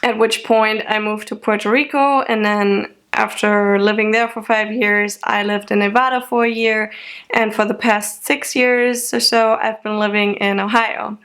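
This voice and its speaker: A woman's voice: mid-range voice, fairly even intonation, measured pace, a bit bright. A young German woman chatting casually to camera, rambling and laughing often. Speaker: vlogger.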